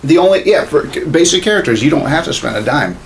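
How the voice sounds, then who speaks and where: A man's voice: a little high, expressive, fast. Guy in his 30s ranting and swearing on a gaming podcast, noisy little room. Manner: ranting, profane. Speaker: podcast co-host. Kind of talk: casual opinionated chat about games and movies. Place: small home studio room, indoors. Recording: clearly noisy room recording.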